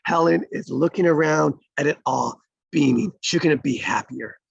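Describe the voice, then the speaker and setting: Male stage-directions reader for a screenplay table read, creaky and husky, close dry sound. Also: fairly high pitch, normal speed, no echo, muffled recording.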